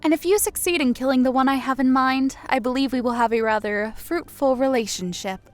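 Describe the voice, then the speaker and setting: A woman's voice: high-pitched, brisk, soft background music, natural voice. A woman voicing a regal death goddess in an audiobook, lofty, sweet and composed. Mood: commanding. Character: regal female death god, lofty, serenely amused. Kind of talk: dramatised audiobook character dialogue.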